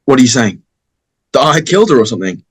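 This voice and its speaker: A man playing a crass, excitable high-school boy in a table read of a slasher script. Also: fast, breathy edge. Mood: defensive. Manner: animated, brash.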